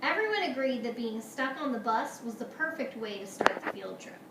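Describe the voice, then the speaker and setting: Woman, schoolteacher, animated storybook read-aloud, high voice, echoey, a bit distant. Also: normal speed. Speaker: schoolteacher reading to pupils. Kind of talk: picture-book read-aloud. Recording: roomy, echoey, noisy home-style recording.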